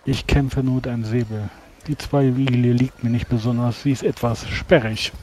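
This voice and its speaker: A man speaks as a player in a tabletop roleplaying game. His voice is soft-edged and dark, and he words things formally, with some hesitation. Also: medium pitch, steady tone, moderate pace, a little hiss or hum. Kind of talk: in-character roleplay dialogue in an online game.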